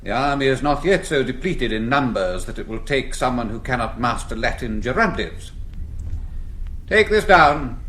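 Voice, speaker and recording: An actor playing a severe, sardonic schoolmaster with a clipped, rhetorical stage delivery. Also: male voice, medium pitch, lively intonation, moderate pace, a bit bright, slight background noise, lightly acted. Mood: dictating. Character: severe, sardonic male schoolmaster drilling boys. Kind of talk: theatrical stage acting.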